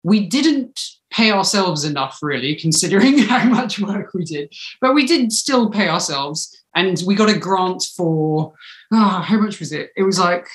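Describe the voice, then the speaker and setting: A woman's voice: lowish voice, animated, a little slow. A woman in her thirties with a British accent, chatting casually and animatedly as an interview guest, sometimes acting out quotes. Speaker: interview guest, podcast creator. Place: small home room, indoors. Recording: roomy, echoing home video call.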